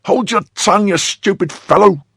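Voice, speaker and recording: A man's voice: deep voice, animated, normal speed, a little mellow, breathy edge, no room sound, clean studio sound. A man voicing an impetuous young swordsman in an audiobook, speaking with agitated, dramatic urgency. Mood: commanding. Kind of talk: audiobook character acting.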